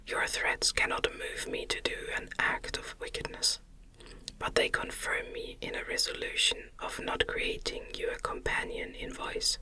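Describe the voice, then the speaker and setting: A narrator voicing a defiant, resolute man facing his monstrous creation in a gothic story, with firm, indignant, formal speech in a breathy ASMR whisper. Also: measured pace, bright, forceful tone, poor audio.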